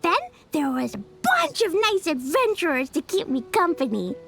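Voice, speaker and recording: A woman's voice: very high voice, huge pitch swings, normal speed, gravelly, fairly bright tone, faint music behind, exaggerated voice. A woman voicing a cheerful, eager little girl who chatters in a chirpy, childlike way in a video game.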